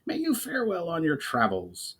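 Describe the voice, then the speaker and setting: A man voicing a gruff, growling goblin war chief in a fantasy storybook, blunt but respectful. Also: on the high side, huge pitch swings, moderate pace, fairly bright tone, pitched above the performer's own voice, exaggerated voice. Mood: thoughtful. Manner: growling, grunting delivery.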